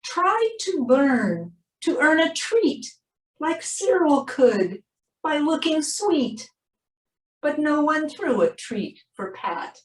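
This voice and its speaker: Animated, unhurried woman reading in echoey room. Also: sits high. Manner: expressive, drawn-out emphasis. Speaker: animal shelter presenter reading a picture book. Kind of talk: children's storybook read-aloud. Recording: roomy, distant microphone.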